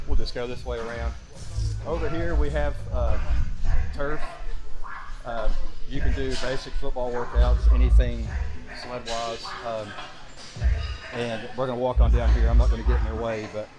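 Middle-aged man over loud gym music. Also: mid-range voice, low-quality recording. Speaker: gym member giving a tour. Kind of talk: casual walking tour commentary. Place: large open gym, indoors. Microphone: handheld camera a step or two away.

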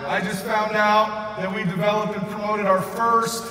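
Shouting man on an arena stage. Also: high voice, normal speed, noisy background. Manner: loud, excited, often shouting to the crowd. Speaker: convention speaker. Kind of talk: motivational stage speech. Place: large convention arena stage. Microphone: far recording from the audience. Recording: echoey arena recorded from far off.

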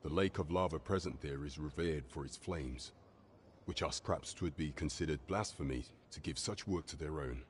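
An actor playing a grave, loyal guard captain in a fantasy game, with formal, measured, courtly archaic speech. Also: male voice, rumbling low, slight background noise. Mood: grave, pondering. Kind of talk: scripted video game dialogue in archaic English.

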